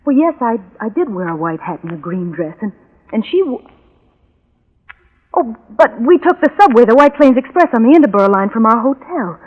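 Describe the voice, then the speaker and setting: A woman's voice: a little high, big pitch swings, fairly quick. Intense, lovelorn woman, old radio. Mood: anxious, polite. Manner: intense, fervent, brooding, breaking into repeats. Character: obsessive, lovelorn, bitter woman convinced of her willpower. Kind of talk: 1940s radio drama acting. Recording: dull vintage 1940s radio recording.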